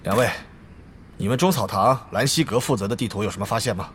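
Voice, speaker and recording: A man's voice: lowish voice, quick pace, slight background noise, lightly acted. An actor in a Chinese audio drama playing an agitated, blustering male guild leader. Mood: puzzled. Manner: agitated, forceful.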